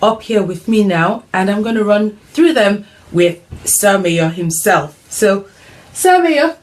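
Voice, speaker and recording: A woman's voice: medium pitch, lively intonation, slow pace. Chatty, upbeat woman host. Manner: chatty and upbeat, playful teasing, frequent ums. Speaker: host of an online mums' group show. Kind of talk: casual chat, interviewing her son.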